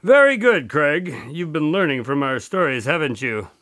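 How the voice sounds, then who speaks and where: An actor playing a doctor who tells his cases to a visitor, speaking in a measured, somber way. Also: fairly high pitch, animated, a bit bright, no room sound. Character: Dr. Watson's grandson, a doctor who narrates cases. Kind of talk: audio drama acting.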